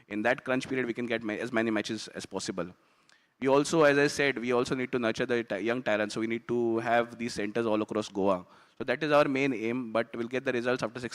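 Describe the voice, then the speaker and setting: A man, a cricket association official, explaining plans at a panel in a measured way. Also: medium pitch. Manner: measured, explanatory, frequent pauses. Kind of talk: panel discussion answer. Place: conference hall. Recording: reverberant hall.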